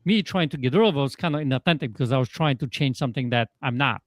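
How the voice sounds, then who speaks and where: A man's voice: mid-range voice, fast, no echo, studio quality. A man with a Polish accent, a coach talking as a guest in a casual interview, emphatic and fond of rhetorical "guess what" questions. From Poland.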